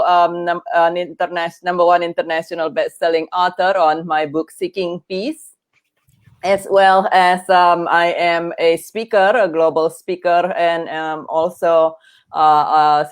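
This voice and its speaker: An earnest middle-aged woman, Indonesian by birth, speaks in a somewhat low voice. She chats as a podcast guest, filling her sentences with ums and restarting her thoughts. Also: smooth. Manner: earnest and chatty, many fillers and restarts. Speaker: guest, veterinary oncologist and grief coach. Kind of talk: podcast interview.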